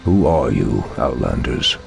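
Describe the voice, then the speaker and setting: A low-voiced, ancient Night Elf commander from a fantasy game. He is stern and grand, with wide swings in his solemn delivery. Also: very animated, a little slow, creaky, soft background music, exaggerated voice. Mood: wary, solemn. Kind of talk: dramatic video game cinematic acting.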